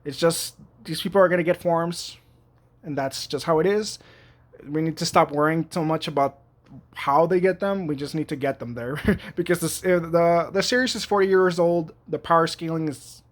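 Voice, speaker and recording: Man, high-ish voice, casual reviewer talking from his desk; rambling, full of "um" and restarts, blunt and excited. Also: moderate pace. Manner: rambling, frequent fillers and restarts; enthusiastic, blunt opinions. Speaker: YouTube reviewer. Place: at home at his computer.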